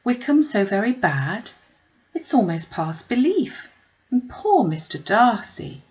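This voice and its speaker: A woman's voice: mid-range voice, very animated, slow, dull audio, voice pushed up. A woman voicing a kind-hearted, distressed young lady in a classic novel reading, with an earnest, plaintive way of exclaiming. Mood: shocked, distressed.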